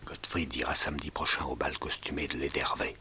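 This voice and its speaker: An actor in a 1960s French radio drama playing a secretive kidnapper who gives cold, hushed orders. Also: male voice, extremely low pitch, steady tone, a little fast, a little hiss or hum, full character voice. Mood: cold, conspiratorial. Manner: hushed, conspiratorial whispering.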